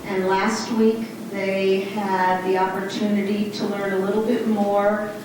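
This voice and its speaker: A woman teacher introducing an evening program to seventh graders in a measured, firm way. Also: medium pitch, level tone, noisy background. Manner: measured, firm classroom address. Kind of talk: addressing students. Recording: distant voice in an echoey hall.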